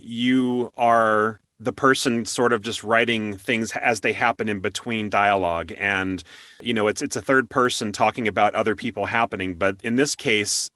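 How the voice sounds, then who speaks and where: A man's voice: fairly low pitch, moderate pace, a bit bright, dry recording, studio quality. A man hosting an interview in a conversational style, explaining at length as he works toward his questions. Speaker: interview show host.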